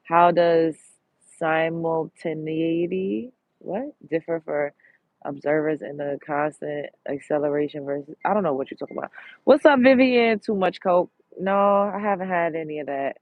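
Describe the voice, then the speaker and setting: A woman's voice: sits low, no room sound, narrow, tinny audio. Blunt, congested New woman streaming. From Brooklyn, New York. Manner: blunt, profane, chatty, quick to vent. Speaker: live-stream host. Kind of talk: casual live-stream chat, reading viewer comments. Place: outdoors on a street.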